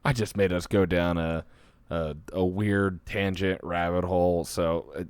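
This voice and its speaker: Philly area guy, mid-atlantic accent, lowish voice, casual podcast chat, dry close mic. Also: steady tone, a little slow, a little mellow, clean tone, dry recording. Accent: Philadelphia-area Mid-Atlantic American. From Philadelphia area, United States. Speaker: podcast co-host.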